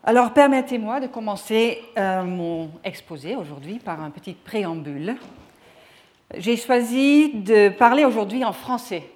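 A woman's voice: medium pitch, animated, darkish tone. Careful Dutch-accented woman lecturing. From Netherlands. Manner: careful, hesitant, with frequent pauses. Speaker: guest lecturer. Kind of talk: formal academic lecture. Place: lecture hall.

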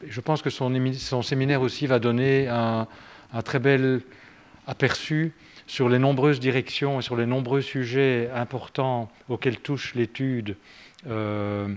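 A man's voice: mid-range voice, fairly even intonation, normal speed. A man introducing a seminar speaker in a formal, hesitant way, with frequent fillers. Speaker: course lecturer introducing a seminar.

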